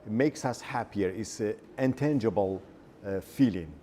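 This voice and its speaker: A man speaking as a guest in a discussion, measured and halting. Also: on the low side, mellow, slight background noise. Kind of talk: reflective discussion.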